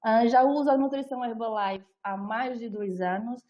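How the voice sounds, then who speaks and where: A woman's voice: on the high side, steady tone, normal speed, muffled recording. A young adult woman with a Brazilian Portuguese accent, a nutritionist presenting an online talk in a friendly, explanatory way with frequent fillers.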